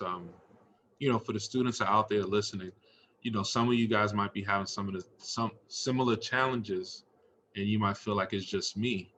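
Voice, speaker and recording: A man's voice: fairly low pitch, a bit bright. Encouraging male interviewer with noise behind him. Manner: encouraging, empathetic toward guest and students. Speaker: interviewer and host of a school staff story series.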